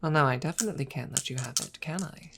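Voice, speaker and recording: A woman playing a proud, teasing dragon rival in a soft, measured ASMR audio roleplay, at times dropping toward a whisper. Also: low-pitched, vocal fry, noisy background. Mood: haughty, intrigued. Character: proud, teasing dragon rival hiding affection, hoarder of treasure.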